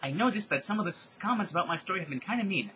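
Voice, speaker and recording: Man doing a high, wounded amateur author. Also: animated, rapid-fire, pushed and bright, a little hiss or hum. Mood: hurt. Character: wounded, defensive young amateur fanfiction author.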